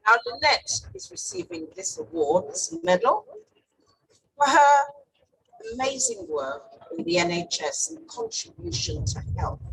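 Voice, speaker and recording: Distant, gravelly woman ceremony host. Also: fairly high pitch, fairly bright tone. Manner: upbeat, praising, introduces speakers. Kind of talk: ceremony announcing. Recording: distant mic, faint noise.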